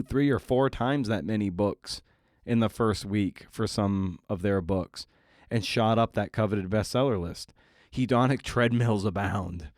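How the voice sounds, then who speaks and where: A man's voice: mid-range voice, a little mellow, no room sound. A man in his forties hosting a casual solo podcast, rambling in a wry, self-deprecating way into a close microphone. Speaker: podcast host.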